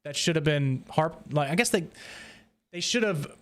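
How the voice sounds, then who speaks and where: A man hosting a casual roundtable discussion, feeling out his thoughts in a halting way. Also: fairly high pitch, animated. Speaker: host of a book discussion podcast.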